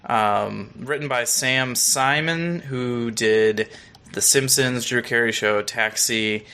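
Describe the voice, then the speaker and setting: A man's voice: mid-range voice, takes it slowly, bright, forceful tone, clean tone. An American man, around his thirties, chatting casually as a podcast co-host in a rambling, joking way. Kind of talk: casual chat, reviewing a TV episode.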